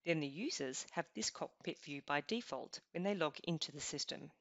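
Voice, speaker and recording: A woman narrating a scripted software walkthrough, explaining each step in an even, instructional way. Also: sits low, normal speed, no room sound. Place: voice-over over screen recording. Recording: dull lo-fi voice-over.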